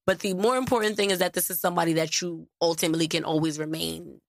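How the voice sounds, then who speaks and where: A woman co-hosting a podcast in casual chat, lively and slangy, laughing often. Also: lowish voice, quick pace, creaky, dry recording, studio quality. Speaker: podcast co-host.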